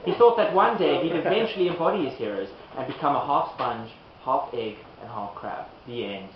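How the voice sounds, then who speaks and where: A man's voice: on the high side, fairly quick. A man narrating a comic puppet show in an amused, giggly manner, breaking off to direct the performers. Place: live performance in an echoey room. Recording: noisy, muffled, echoey room recording.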